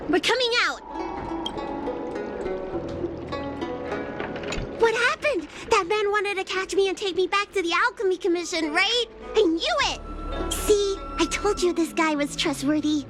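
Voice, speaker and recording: A woman's voice: very high voice, very animated, unhurried, exaggerated voice. A woman voicing a young girl healer, eager and trusting, in an English-language video game. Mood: nervous, relieved. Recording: loud game music behind.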